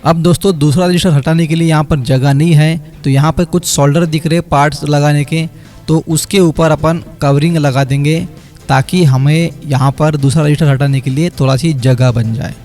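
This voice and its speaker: A man's voice: on the high side, fairly even intonation, normal speed. A man, a phone repair technician narrating a step-by-step repair tutorial over his work. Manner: explanatory, step by step, addresses viewers as friends. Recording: quiet background music under voice-over. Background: light background music.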